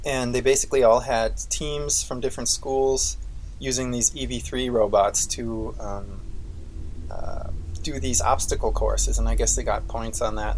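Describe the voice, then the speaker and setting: A man's voice: medium pitch, darkish tone, a little hiss or hum, rough recording. Man, podcast co-host, casual rambling chat, filler-heavy. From Austria. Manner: rambling, leans on "basically" and "um".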